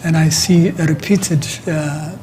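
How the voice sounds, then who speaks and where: A middle-aged man from Ethiopia with an Ethiopian accent, answering interview questions conversationally in a halting way, repeating words as he explains. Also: a little high, fairly even intonation, warm tone, slight background noise. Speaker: interview guest, activist.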